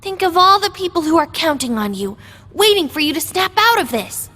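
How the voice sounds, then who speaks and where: A defiant young woman in a game cutscene, her high voice ringing in a long reverb. She is easily exasperated and sharp-tongued, swinging widely in pitch, with a protective streak under the irritation. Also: sing-song, brisk, exaggerated voice. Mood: urgent pleading. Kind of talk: video game cutscene acting.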